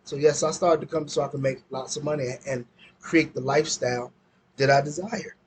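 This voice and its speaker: A man's voice: a little high, steady tone, brisk, a little hiss or hum. Man in his forties, casual business talk. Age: 40s. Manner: storytelling, repeats words often. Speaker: business owner.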